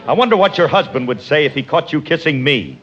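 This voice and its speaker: An actor playing a dopey, lovestruck secret lover in 1940s radio comedy style, goofy and simple-minded. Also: male voice, a little high, very animated, fast, dull audio. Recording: very noisy old radio recording.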